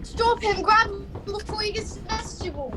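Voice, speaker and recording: A woman's voice: very high voice, brisk, noisy background. A woman playing a bossy, barking male police officer in a radio play, recorded over a home video call. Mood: urgent, shouting.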